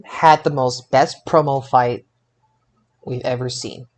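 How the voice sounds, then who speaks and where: Stammering, excitable male podcaster. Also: mid-range voice, takes it slowly. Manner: excitable, stammering, repeats phrases. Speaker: podcast co-host. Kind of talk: casual wrestling discussion.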